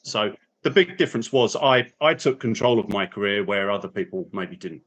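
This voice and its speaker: A man's voice: lowish voice, moderate pace, fairly bright tone, rough recording. A man speaking as a guest at a career meetup, reflective, with many restarts and fillers. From not from New Zealand. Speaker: guest speaker, business analyst. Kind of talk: casual panel talk. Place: New Zealand.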